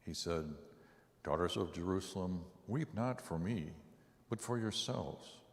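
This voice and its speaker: A man performing a solemn, deliberate church reading as Sorrow, a grim and bitter tormentor. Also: deep voice, lively intonation. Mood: bitter, defeated. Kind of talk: dramatic church reading, monologue. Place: large church sanctuary. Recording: long reverberant church hall echo.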